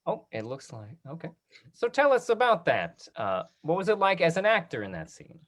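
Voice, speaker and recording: A woman's voice: on the low side, wildly swooping pitch, moderate pace. A woman hosting a video call interview, prompting guests with encouraging questions. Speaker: host, moderator.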